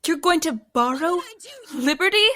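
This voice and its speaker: A woman acting as an uneasy, principled heroine in an audio drama, speaking in a measured, guarded way. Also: extremely high pitch, lively intonation, pushed and bright, exaggerated voice. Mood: shocked. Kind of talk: scripted audio drama narration and dialogue.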